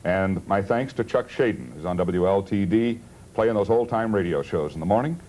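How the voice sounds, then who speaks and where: A man's voice: deep voice, animated, moderate pace, a little mellow. A man hosting a late-night television talk show in a casual, bantering style. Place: TV studio. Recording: old TV tape, faint noise.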